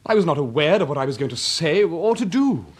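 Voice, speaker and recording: An actor playing an earnest, lovestruck and stubborn young English gentleman of eighteen in a period radio drama. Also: fairly high pitch, very animated, fairly quick, breathy edge. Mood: defiant, passionate. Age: young adult. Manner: earnest, defiant, formal.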